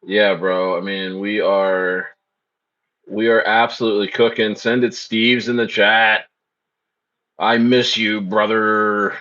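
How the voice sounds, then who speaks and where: A man's voice: on the low side, little pitch movement, takes it slowly, bright, forceful tone, highs cut off. A man hosting a casual crypto livestream, speaking loosely and repeating phrases as he reads the chat.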